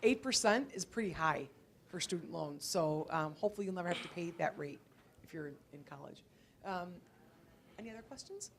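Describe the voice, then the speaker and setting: A woman's voice: fairly low pitch, expressive, a little fast, creaky, a little hiss or hum. A woman instructor talking informally with students, in a conversational, hesitant way with repeated words. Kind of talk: informal question-and-answer with students. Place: indoor college library event before an audience.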